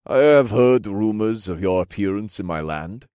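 A man voicing a regal, genial fantasy king with formal, measured phrasing in an audiobook. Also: fairly low pitch, expressive, dark tone, smooth, no echo, studio quality, natural voice. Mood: curious, stately.

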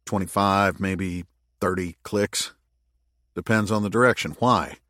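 A man voicing a gruff, skeptical moon colony worker in an audiobook, with blunt, sardonic retorts. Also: sits low, fairly even intonation, unhurried, a bit bright, no echo, studio quality. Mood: dry, matter-of-fact. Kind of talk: audiobook character dialogue.

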